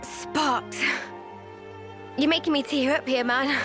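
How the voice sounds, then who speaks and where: An actress playing a tender, appreciative young heroine in a fantasy video game, with an informal, soft-hearted manner. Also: female voice, high-pitched, steady tone, slow pace, music in the background. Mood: touched, grateful. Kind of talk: video game cutscene acting.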